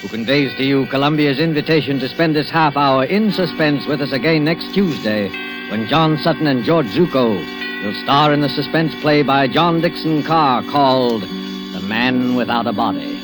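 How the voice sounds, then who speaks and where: A man plays a solemn narrator of suspense tales on 1940s radio. His medium-pitched delivery is formal and deliberate, with loud music behind him. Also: animated, close to an everyday voice, poor audio. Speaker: narrator, host.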